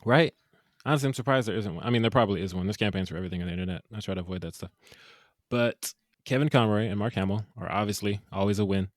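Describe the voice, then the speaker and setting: A man co-hosting a casual movie review podcast, animated and jokey with quick asides. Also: on the low side, no echo, clean studio sound. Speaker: podcast co-host.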